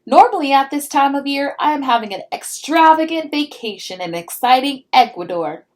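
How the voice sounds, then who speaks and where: A haughty storybook Easter Bunny, read out by a woman. His voice is high and lively in pitch. He sounds formal and grandly polite, with an indignant edge to his words. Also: normal speed. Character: huffy, haughty male Easter Bunny writing a letter.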